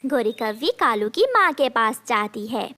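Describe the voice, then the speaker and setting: A woman's voice: fairly high pitch, expressive, unhurried, bright, forceful tone, no echo. A woman narrator reading a scripted cartoon story.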